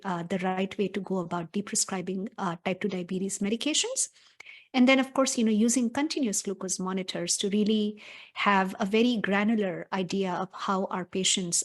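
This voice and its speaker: A woman physician talks through a clinical approach step by step on a video call. Her voice sits at an ordinary pitch, and her delivery is brisk and technical, with frequent "uh"s between phrases. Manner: brisk, technical, with many filler sounds. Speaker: physician presenter. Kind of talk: webinar presentation.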